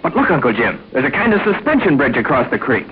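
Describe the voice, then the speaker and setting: An actor playing a bold, keen all-American teenage hero in an old-time radio adventure serial, confident and earnest. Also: male voice, mid-range voice, sing-song, fast talker, natural voice. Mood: keen, alert. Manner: clean-cut, confident, earnest. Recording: tinny, faintly noisy old radio recording.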